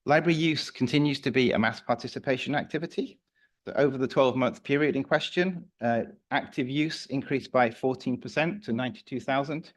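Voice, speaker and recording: A man with a slightly croaky voice giving a formal report to a council committee, measured and a little hesitant. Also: medium pitch. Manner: measured, with some fillers and restarts. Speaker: council officer presenting a report. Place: council meeting room.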